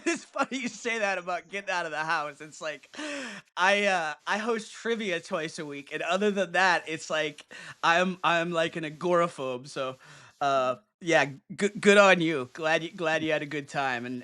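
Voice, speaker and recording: A man's voice: fairly high pitch, pushed and bright. A man hosting a podcast in casual chat, eager and often stumbling over his words. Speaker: podcast host.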